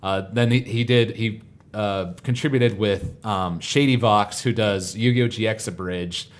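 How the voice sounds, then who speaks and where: A man's voice: lowish voice, fairly even intonation, unhurried, a little hiss or hum. A man talking casually and enthusiastically as a podcast panelist, explaining fan favorites in detail. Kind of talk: casual fan chat about anime parodies.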